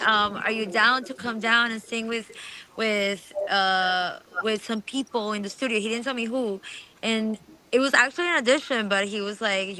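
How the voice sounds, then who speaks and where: A woman from Colombia with a bright, higher voice chats cheerfully. She is a singer and songwriter talking about her band. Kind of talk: casual interview chat.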